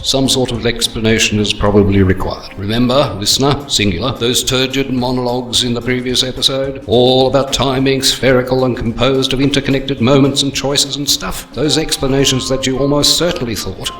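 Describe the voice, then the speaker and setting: A sardonic male actor's narrator reads wordy comic narration at a middling pitch. The voice is roomy and echoey, with faint music behind it. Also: fairly bright tone, natural voice. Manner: sardonic, long-winded. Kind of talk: scripted comedy narration.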